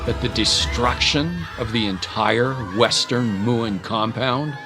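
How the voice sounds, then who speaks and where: A man narrating a dramatic scripted story in a measured, solemn manner. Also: mid-range voice. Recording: dramatic music bed. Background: music.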